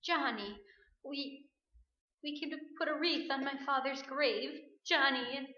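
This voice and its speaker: A woman's voice: high-pitched, unhurried, pushed and bright. An actress playing a terrified young woman in shock in a staged radio play, faltering and repeating herself, dazed and close to hysterics. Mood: dazed, shaken. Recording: roomy, dull live stage recording.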